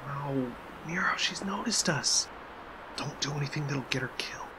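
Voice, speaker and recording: Man acting an anxious, higher-voiced magician. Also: moderate pace, background noise, natural voice. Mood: worried, hushed. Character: anxious, cautious male stage magician. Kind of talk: audio drama acting.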